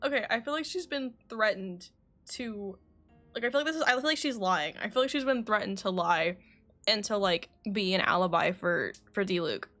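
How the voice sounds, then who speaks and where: A woman's voice: mid-range voice, normal speed, a bit bright. Woman streamer reacting casually over game music.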